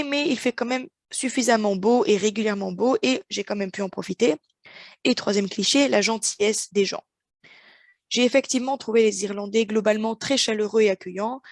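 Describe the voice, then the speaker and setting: A young woman from France giving a clear, measured prepared speech with light humor, recorded close to the mic. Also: medium pitch, no echo. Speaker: interpreting student giving a practice speech. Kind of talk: prepared speech for interpreting practice.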